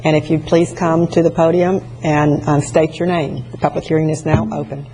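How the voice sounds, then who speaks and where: Low, flat-voiced woman chairing a meeting. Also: normal speed, rough recording. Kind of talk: formal procedural announcements. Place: public meeting chamber. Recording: faint background noise.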